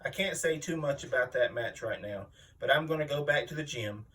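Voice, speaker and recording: A man's voice: medium pitch, fairly quick, fairly bright tone, natural voice. Man playing a boastful, mean wrestler, far from mic. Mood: grudging, defiant. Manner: boastful, blustering, aggrieved. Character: boastful, mean veteran pro wrestler villain, male, older. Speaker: reader voicing an interviewed wrestler. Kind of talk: dramatic magazine interview reading, wrestling promo.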